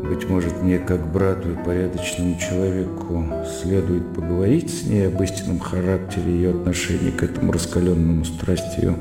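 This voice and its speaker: An actor playing a detached, educated gentleman musing in measured, literary phrases in a radio drama monologue. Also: low-pitched, little pitch movement, creaky. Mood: sceptical musing. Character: Polkanov, detached, educated brother musing sceptically. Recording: heavy reverb over guitar music.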